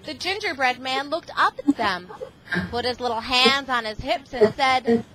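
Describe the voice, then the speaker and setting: A woman reading a storybook aloud to children in a classroom, with animated, expressive storytelling. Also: sits high, a little slow. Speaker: reading tutor and visiting parent reading to a class. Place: school classroom. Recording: very noisy amateur classroom recording.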